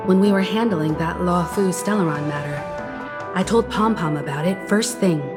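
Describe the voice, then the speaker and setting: An actress voicing a composed, cautious woman who leads a space crew in a video game, speaking in a measured, thoughtful way. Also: on the low side, natural voice. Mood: wary, measured. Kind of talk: English video game voice acting. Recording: music playing behind the voice.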